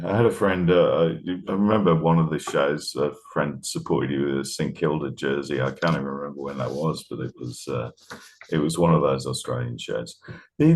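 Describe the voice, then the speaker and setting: A man chatting casually as he interviews a guest. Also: very deep voice.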